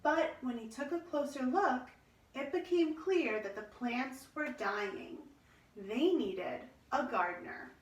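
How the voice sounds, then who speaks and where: A woman reading a children's storybook aloud in an expressive, measured storytelling manner. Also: high-pitched, a little hiss or hum. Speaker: storybook reader. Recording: roomy echo, distant microphone.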